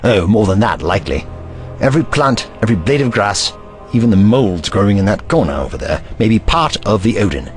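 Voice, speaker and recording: A man's voice: on the low side, sing-song, brisk, soft background music. A man voicing a haughty, eccentric time-traveling scientist in an audio drama, pompous and lecturing.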